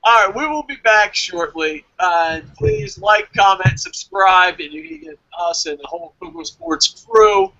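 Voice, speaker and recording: A man's voice: sits high, wildly swooping pitch, a little slow, hardly any pauses, bright, forceful tone, low-quality recording. A man hosting a casual wrestling review podcast, chatting informally with some swearing. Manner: profane, informal. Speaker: podcast host.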